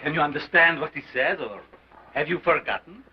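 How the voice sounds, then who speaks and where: An actor playing a grandiose sideshow scientist who declaims in a pompous, theatrical way, on a dull old film soundtrack. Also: male voice, fairly high pitch, lively intonation, a little fast, background noise, lightly acted. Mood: solemn. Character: grandiose male carnival scientist, commanding and theatrical.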